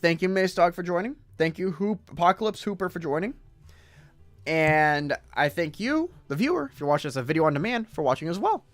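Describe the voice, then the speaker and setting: Brief: hoarse male stream host, lively pitch, dry close mic, faint music behind. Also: a little fast, no room sound. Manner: rambling, talks to chat while browsing. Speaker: podcast host. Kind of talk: reading aloud and casual chat on a livestream.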